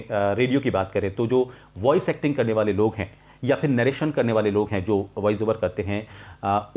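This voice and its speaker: A middle-aged man explaining a lesson to viewers as a voice coach, in a deliberate, emphatic manner with clear pauses. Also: medium pitch, darkish tone. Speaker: voice-over artist and voice coach hosting a tutorial.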